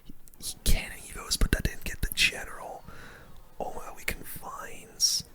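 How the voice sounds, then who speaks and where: A person giving ASMR gameplay commentary in a breathy whisper, using casual gamer slang. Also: normal speed, sharp tone. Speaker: gaming video host.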